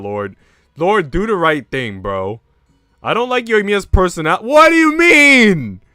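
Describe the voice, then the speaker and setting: A man's voice: high voice, a bit bright, smooth, no echo, clean studio sound. A man livestreaming in casual chat, slangy and bantering with mock outrage.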